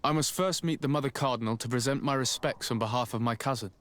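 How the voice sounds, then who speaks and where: A man's voice: mid-range voice, fast, fairly bright tone, lightly acted. An actor playing a composed young nobleman diplomat in a video game, speaking in a polite, formal, articulate way. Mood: courteous, composed.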